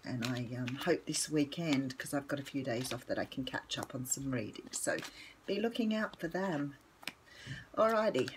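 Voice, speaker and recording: An older Australian woman with a croaky morning voice, chatting casually and rambling through a tarot reading at home with lots of ums. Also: rumbling low, moderate pace, noisy background. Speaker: tarot reader.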